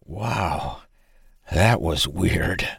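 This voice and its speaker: A man voicing an old man stuck in a young body, proud, fussy and quick to take offense. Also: low-pitched, expressive, gravelly, husky, no echo, clean studio sound, exaggerated voice. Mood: wry. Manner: indignant, fussy, formal.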